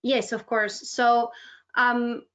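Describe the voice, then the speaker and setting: A woman's voice: a little high, flat delivery, extremely slow, a bit bright. A woman from Argentina, a writer guest, chatting in a webinar interview with plenty of "like" and "um". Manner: chatty, uses "like" and "um" often. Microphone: video call. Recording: dull lo-fi video call audio.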